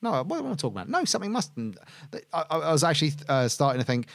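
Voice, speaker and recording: A man's voice: on the high side, huge pitch swings, quick pace, vocal fry, no room sound. A man co-hosting a podcast in casual chat, rambling and correcting himself with lots of fillers. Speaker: podcast co-host.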